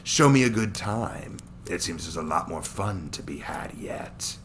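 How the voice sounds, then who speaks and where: A man acts out an arrogant alien warrior villain from an action story. The voice sits low and sneers with contempt, stretching words into slow mutters. A faint noise runs behind it. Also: animated. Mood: intrigued, menacing.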